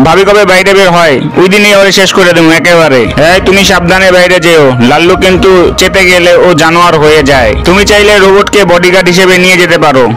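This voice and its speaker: A man's voice: sits high, fairly bright tone, rough recording. A man with a Bangladeshi accent voicing a hot-headed male fox in a cartoon, with an emphatic, scolding delivery. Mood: menacing.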